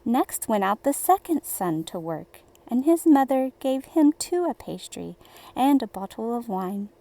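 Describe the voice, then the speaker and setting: Slow, high-voiced woman reading fairy tales. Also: very animated, creaky, dark tone, dry recording. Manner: slow, deliberate, theatrical storybook delivery. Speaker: storyteller, host of a children's story series. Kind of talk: expressive children's bedtime fairy-tale reading.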